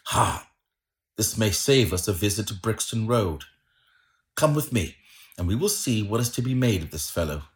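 A male narrator voicing a confident, shrewd and courteous Victorian gentleman detective, precise and assured, in a storybook reading. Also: fairly low pitch, lively intonation, moderate pace, pauses often, lightly acted. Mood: keen, thoughtful.

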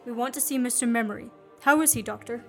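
A woman's voice: on the high side, quick pace, faint music behind, lightly acted. A woman in a radio play acting a determined, wry man who is falsely accused. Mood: gentle, insistent.

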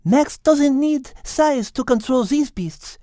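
A man voicing a grave, wise female animal in a measured manner for an audiobook. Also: very high voice, lively intonation, dark tone, dry recording, clean studio sound, voice pushed up, full character voice. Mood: grave concern. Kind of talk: audiobook character acting.

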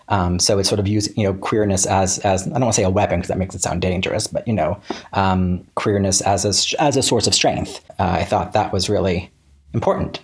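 An American man with a lowish, slightly rough-edged voice speaks as a guest in a casual interview. He restarts and corrects himself often as he works through his thoughts. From Central Florida, United States.

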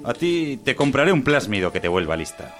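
An actor playing a vain, short-tempered working-class husband, defensive and domineering, in an audio drama. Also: male voice, mid-range voice, sing-song, fairly quick, fairly bright tone, soft background music. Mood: defensive, boastful.

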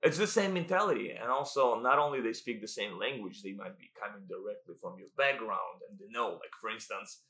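Bright-voiced man, echoey room. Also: on the low side, a little fast, few pauses. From Russia. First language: Russian. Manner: chatty and explanatory, laughs easily. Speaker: real estate agent, guest. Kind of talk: podcast interview.

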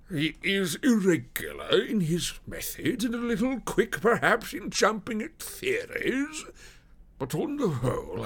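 A man voicing a downcast, deferential police detective in an audiobook, speaking formally in a slow, drawn-out, confidential manner. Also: high voice, slightly rough, voice pushed up, heavily acted. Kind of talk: dramatised audiobook character voice.

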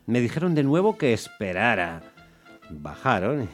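A man's voice: medium pitch, sing-song, measured pace. An actor playing a weary, put-upon working-class taxi driver who tells his story in a colloquial, grumbling way in a radio drama. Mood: resigned narrating. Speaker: first-person narrator and lead actor. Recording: music under the voice. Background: music.

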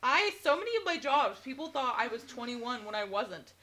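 A woman's voice: fairly high pitch, very animated, moderate pace, sharp tone. A woman in her twenties co-hosting a podcast in casual chat, giggly and chiming in with jokey quotes. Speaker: podcast co-host.

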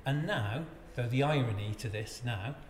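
Fifties man raised in Wales, podcast guest, calm and hesitant; echoey room, faint noise. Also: mid-range voice. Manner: measured, storytelling, a little hesitant. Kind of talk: casual conversation.

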